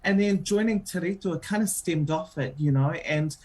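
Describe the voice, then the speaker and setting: A woman's voice: lowish voice, moderate pace, darkish tone, breathy edge. A woman with a New Zealand accent, a radio show host being interviewed on air, talking in a chatty way with many filler ums.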